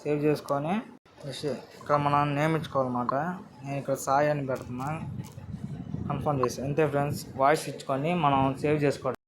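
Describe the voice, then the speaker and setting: A man's voice: a little high, flat delivery, fast talker. A man with a Telugu accent hosting a casual step-by-step app tutorial, speaking directly to viewers in an instructional way. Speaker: tutorial video host. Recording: noisy amateur recording with ambient noise.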